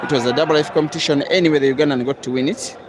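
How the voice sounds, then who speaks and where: A man from East Africa giving live, measured commentary on a pool match, with sudden excited rises. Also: on the high side. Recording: loud crowd and noisy outdoor venue.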